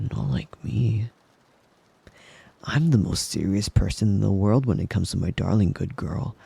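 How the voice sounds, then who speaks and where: A man as a breathy, possessive yandere wolf boy. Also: fairly low pitch, no room sound, full character voice. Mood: smug devotion. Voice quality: soft, breathy, often dropping to a whisper. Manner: cooing praise, slow, with little chuckles. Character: possessive yandere wolf boy, doting, sweetly menacing boyfriend. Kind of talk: intimate ASMR roleplay monologue. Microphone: close mic.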